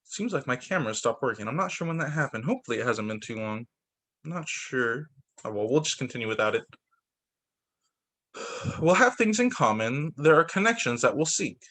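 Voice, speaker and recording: A man reading picture books aloud to young students as a teacher, expressive, adding his own off-the-cuff remarks. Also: medium pitch, brisk.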